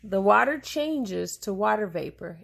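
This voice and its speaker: Woman, slow pace, creaky voice, children's book read-aloud, deliberate, explaining to a child. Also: mid-range voice, expressive. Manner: deliberate, explaining, pausing to answer questions. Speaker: parent reading a picture book aloud to a child.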